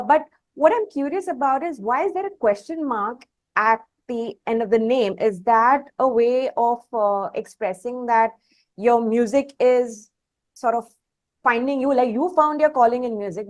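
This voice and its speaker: A woman with a fairly high voice and an Indian accent hosts a music interview. Her delivery is conversational, with questions that unfold in hesitant, pausing phrases. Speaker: interview host.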